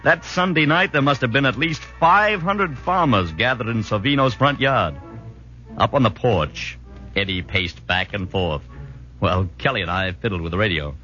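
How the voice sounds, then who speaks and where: An actor playing an American army doctor who narrates a 1940s radio drama in a measured, expressive storytelling way. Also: male voice, medium pitch, lightly acted. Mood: reflective. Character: genial American army doctor recounting his friend's story. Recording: dull vintage radio recording with music.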